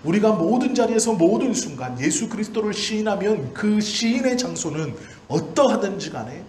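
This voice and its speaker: A man's voice: high-pitched, big pitch swings, moderate pace, a little mellow. A man in his forties, a pastor delivering a sermon in emphatic, repeated short phrases. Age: 40s. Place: church hall during a service. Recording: roomy church echo, faint background noise.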